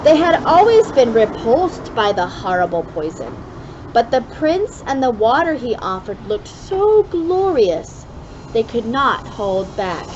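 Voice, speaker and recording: A woman reading a children's story aloud expressively, drawing out key words with deliberate emphasis. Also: a little high, big pitch swings. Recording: dull lo-fi sound with clear background noise.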